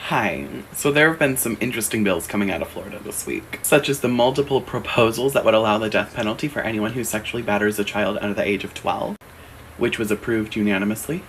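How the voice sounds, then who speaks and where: A man's voice: fairly low pitch, fairly quick, fairly bright tone, a little hiss or hum, rough recording. A man giving scripted commentary in an earnest, explanatory way. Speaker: video commentator in a played clip. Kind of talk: scripted social media commentary.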